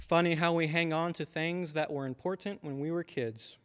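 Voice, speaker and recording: A man's voice: a little high, fairly even intonation, normal speed, clean tone, close to an everyday voice. Actor as paternal radio-drama father in reverberant hall. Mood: fond reminiscing. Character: stern but affectionate small-town father. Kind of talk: old-time radio drama acting.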